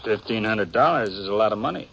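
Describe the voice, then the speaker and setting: An actor playing an eager young cowboy in a 1950s radio western, a smooth talker with a folksy, hesitant American Western drawl. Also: male voice, lowish voice, lively intonation, quick pace. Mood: insistent. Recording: muffled, noisy 1950s radio recording.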